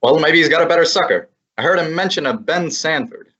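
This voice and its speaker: A young man voicing a wisecracking male private eye who narrates a radio play, with a brisk, wry manner. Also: fairly high pitch, animated, background noise, close to an everyday voice. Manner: brisk, wry, hard-boiled. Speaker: high school drama student. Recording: muffled lo-fi recording.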